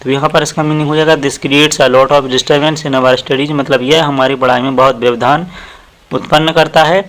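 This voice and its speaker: A man's voice: a little high, fairly even intonation, a bit bright, rough recording. Indian male tutor explaining step by step. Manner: explanatory, repeats phrases, slows down for quoted sentences. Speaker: tutorial teacher. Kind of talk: step-by-step lesson, translating phrase by phrase.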